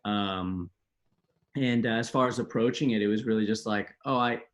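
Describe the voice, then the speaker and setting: Relaxed young man from Texas. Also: lowish voice, moderate pace. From Laredo, Texas. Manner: relaxed, thoughtful, some fillers. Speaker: guest, director. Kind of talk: casual interview.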